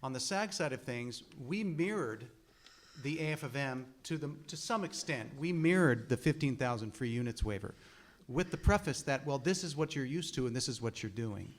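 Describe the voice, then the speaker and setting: A man's voice: mid-range voice, lively intonation, moderate pace. Earnest man explaining on a panel. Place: studio room with an audience.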